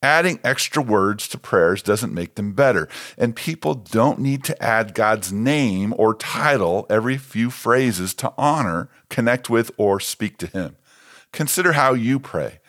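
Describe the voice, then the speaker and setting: A man's voice: lowish voice, lively intonation, a little slow, fairly bright tone. An American man, a pastor, reading a scripted devotional.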